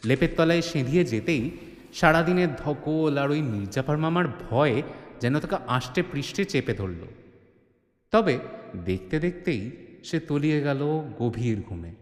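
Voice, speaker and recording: A man's voice: on the high side, expressive, unhurried. Echoey, suspenseful male storyteller. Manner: suspenseful, theatrical. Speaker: narrator. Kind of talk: dramatic scripted storytelling. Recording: echoey, long reverb, music behind. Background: music.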